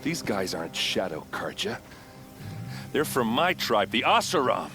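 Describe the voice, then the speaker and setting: An earnest, troubled warrior with a low voice in a game cutscene. His delivery is blunt and emotional, with halting phrases. Music is clearly audible behind him. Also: very animated. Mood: indignant, surprised. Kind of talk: naturalistic video game cutscene acting.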